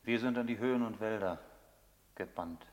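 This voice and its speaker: An actor playing a laconic, proud, enigmatic smuggler in a German radio play, speaking in terse and measured lines. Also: male voice, lowish voice, natural voice. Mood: guarded.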